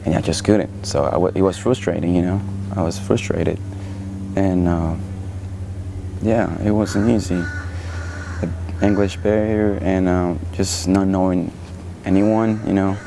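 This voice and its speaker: Deep-voiced young Cuban man, halting. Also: fairly even intonation, vocal fry, background noise. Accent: Cuban Spanish. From Cuba. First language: Spanish. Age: young adult. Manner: hesitant, many fillers. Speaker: student. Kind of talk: interview.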